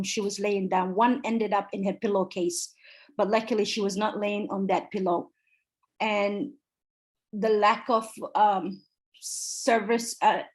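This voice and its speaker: A woman in her forties from Somalia, a city council candidate answering interview questions earnestly over a video call. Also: mid-range voice. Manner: earnest, emphatic, repeats key phrases.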